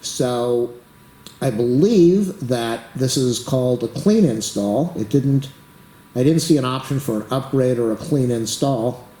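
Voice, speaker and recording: A man hosting a casual computer tutorial, rambling as he waits, losing his voice and clearing his throat. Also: medium pitch, measured pace, warm tone, reverberant, poor audio. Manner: rambling, talks to the camera while waiting. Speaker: YouTube tech tutorial host. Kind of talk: rambling casual screen-recording commentary.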